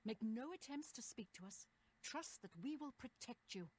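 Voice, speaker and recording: Female battle mage, fantasy game, commanding, brisk, expressive pitch, husky. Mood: stern, instructing. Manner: brisk, instructing, authoritative. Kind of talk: fantasy video game dialogue.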